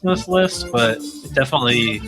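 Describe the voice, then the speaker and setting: A man's voice: medium pitch, animated, fairly quick, over music. A man hosting a podcast in casual, conversational chat. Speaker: podcast host.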